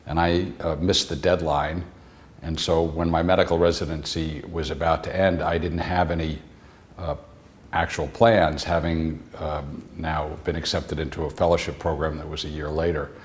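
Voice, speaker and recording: A man with an extremely deep voice speaks calmly and plainly, in a very flat, even tone. He sounds steady and unhurried in an interview. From New York City suburbs. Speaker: interviewee, physician-scientist. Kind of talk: reflective interview answers.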